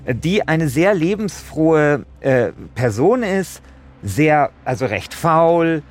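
A man's voice: a little high, sing-song, takes it slowly. A man co-hosting a podcast, explaining things in a casual, colloquial and rambling way. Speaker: podcast co-host. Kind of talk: casual, unscripted chat and explaining. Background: faint music.

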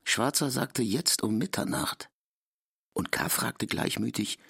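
A man's voice: medium pitch, takes it slowly, gravelly, a bit bright, husky, dry recording, studio quality. A man narrating scripted literary prose in a radio drama. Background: music.

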